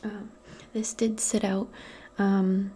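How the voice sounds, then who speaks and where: A woman role-playing in soft-spoken ASMR, speaking gently and soothingly in a breathy, mostly whispered voice. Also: medium pitch, flat delivery. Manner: gentle, soothing, unhurried, conversational. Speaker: ASMR performer role-playing a modern witch.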